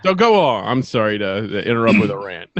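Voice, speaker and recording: Male podcast co-host, casual, halting, rambling and sweary, dry close recording. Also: on the low side, big pitch swings, fairly bright tone, no echo.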